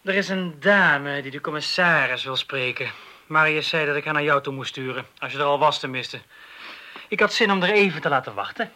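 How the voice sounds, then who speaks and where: An actor playing a chatty, teasing police colleague in a Dutch radio drama, glib in manner, with a Netherlands accent. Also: male voice, fairly high pitch, fairly quick, bright, forceful tone. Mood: matter-of-fact.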